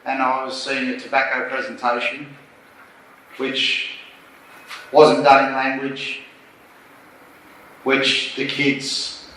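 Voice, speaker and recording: A man's voice: mid-range voice, a bit bright. An Australian man answering on a conference panel, blunt, plain-spoken and urging. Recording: echoey hall, distant mic, faint noise.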